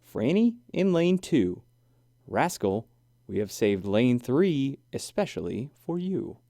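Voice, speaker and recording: A man's voice: medium pitch, big pitch swings, slow, vocal fry, warm tone, no echo, clean studio sound, exaggerated voice. A man voicing an upbeat female animal judge who announces games in a children's storybook. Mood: bright, official.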